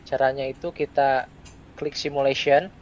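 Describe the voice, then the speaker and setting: A young adult man gives a step-by-step software tutorial, speaking in short, halting phrases with pauses. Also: mid-range voice, fairly even intonation, dull audio. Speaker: student presenter giving a software tutorial. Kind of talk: step-by-step screen tutorial, unscripted. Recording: clear background noise.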